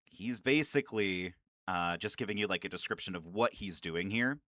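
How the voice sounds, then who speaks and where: A man hosting a video with casual, informal fan commentary, excitable and prone to swearing. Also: sits low, moderate pace, dry recording, studio quality. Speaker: video host.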